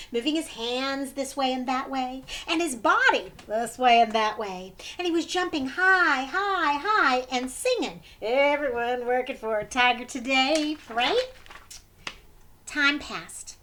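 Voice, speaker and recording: A woman reading a story to children in an animated, expressive way, pausing to prompt her young listeners with questions. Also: sits high, slight background noise.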